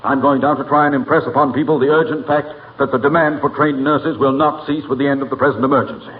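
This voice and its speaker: A man's voice: mid-range voice, steady tone, quick pace, natural voice. Man playing a pompous small-town mayor, tinny radio. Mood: earnest oratory. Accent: American. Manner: pompous, formal, sputtering protest. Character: earnest, pompous, easily exasperated small-town male mayor. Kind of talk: 1940s radio comedy acting. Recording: tinny, noisy 1940s radio broadcast.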